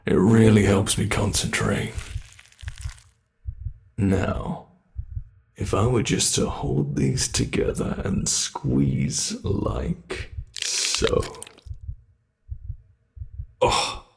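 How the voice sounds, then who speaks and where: A man with a British accent voicing a sadistic monster that hides under the bed, in an ASMR horror roleplay, talking slowly and slipping into menacing whispers. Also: mid-range voice, creaky, full character voice. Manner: slow, gloating, menacing, drops into whispers. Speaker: voice actor.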